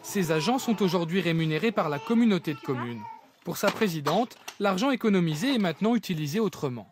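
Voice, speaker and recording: A man's voice: high-pitched, lively intonation, brisk, noisy background. A man narrating a scripted television news report as its reporter.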